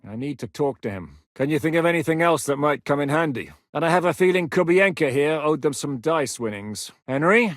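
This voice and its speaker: An actor playing a blunt, battle-hardened middle-aged mercenary commander in a video game, coarse-spoken with a dry wit. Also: male voice, on the high side, expressive, brisk, hardly any pauses, a bit bright, no echo. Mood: wry, gruff. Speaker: military commander. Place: studio booth. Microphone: close studio mic.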